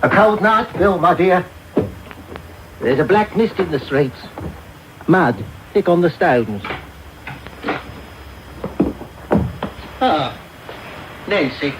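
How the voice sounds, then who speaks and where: Old male villain: sly, greedy, wheedling gang boss, very animated pitch, noisy old broadcast. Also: takes it slowly, long pauses. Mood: fawning. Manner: wheedling, oily, coaxing. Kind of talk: radio drama acting. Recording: very noisy medium-wave radio broadcast off tape.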